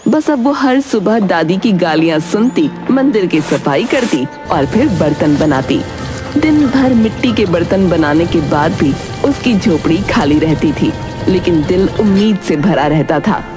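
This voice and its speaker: Expressive woman storyteller over music. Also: on the low side, measured pace. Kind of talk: scripted children's story narration. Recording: background music under the voice.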